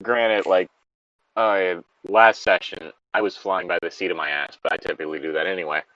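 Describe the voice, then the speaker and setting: A man's voice: low voice, steady tone, moderate pace, pushed and bright, no echo, muffled recording. A man co-hosting a story reading show, switching between reading aloud and casual, sardonic, rambling commentary with some swearing.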